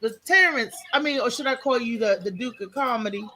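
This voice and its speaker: A woman's voice: on the high side, a little fast, crowd noise. An American woman hosting a live morning show in casual, chatty talk, often repeating her words. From Maryland, United States.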